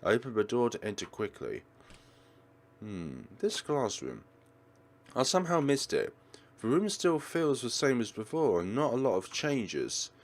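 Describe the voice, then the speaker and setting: A man's voice: mid-range voice, very animated, unhurried. A man reading a visual novel aloud with dramatic delivery, adding his own commentary as a let's player.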